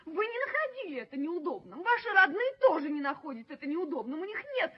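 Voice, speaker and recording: A very high, theatrical young woman's voice plays a proud, hot-tempered fiancée in a 1970s radio play. She is imperious and easily wounded. The recording is muffled and lo-fi. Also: very animated, fast. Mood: hurt, accusing. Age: young adult. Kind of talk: Soviet radio play acting.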